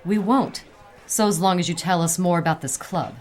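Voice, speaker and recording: An actress playing a cool, shrewd detective's partner in a noir radio drama, needling and quietly threatening. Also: female voice, fairly low pitch, moderate pace, natural voice. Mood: slyly threatening.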